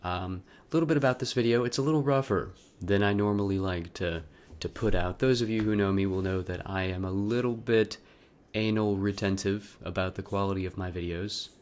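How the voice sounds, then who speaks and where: A man with a somewhat low voice talks as a video creator would in a personal piece. His delivery is wry and self-deprecating, and he breaks off into joking asides. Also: fairly quick, darkish tone. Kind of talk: casual vlog intro, then loose dramatic reading of a personal letter.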